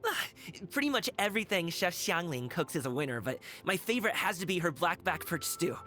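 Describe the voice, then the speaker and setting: A man voicing a friendly, energetic young wushu dancer and delivery guard in a video game, casual, slangy and easygoing. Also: high voice, a little fast, soft background music, full character voice. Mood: chatty, relaxed. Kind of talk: video game character voice lines.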